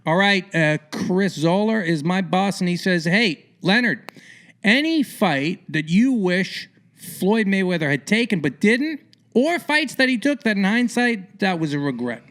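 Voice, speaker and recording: Man, fairly high pitch, podcast host, casual sports interview, opinionated, rambling, informal. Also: animated, a little slow, a bit bright.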